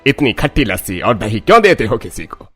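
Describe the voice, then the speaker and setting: A man's voice: fairly low pitch, big pitch swings, a little fast, fairly bright tone, slightly rough, heavily acted. A man playing a blunt, insistent tradesman in a cartoon story, with music underneath. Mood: scolding.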